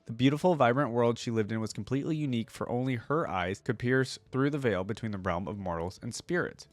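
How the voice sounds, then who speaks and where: A man's voice: medium pitch, dry recording. A man hosting a reaction video in an informal way, moving between casual commentary and reading aloud. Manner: informal, curious, thinking aloud.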